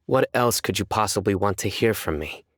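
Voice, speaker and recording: A man voicing a stern, principled young judge in a video game, reserved, with measured, formal delivery. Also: lowish voice, lively intonation, fairly bright tone, no echo, clean studio sound. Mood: guarded, curious. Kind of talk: scripted video game character voice lines.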